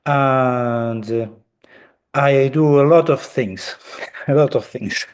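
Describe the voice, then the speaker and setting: A man's voice: mid-range voice, smooth. A man from Argentina, a native Spanish speaker with an Argentine accent, introducing himself carefully on a panel. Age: adult father. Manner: slow and careful, with repeated restarts.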